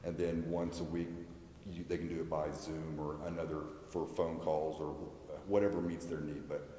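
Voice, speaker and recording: American man, low voice, halting explanatory answers, public meeting, reverberant hall. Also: little pitch movement, mellow. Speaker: school representative addressing a city council. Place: large indoor council chamber. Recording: echoey meeting hall with background noise.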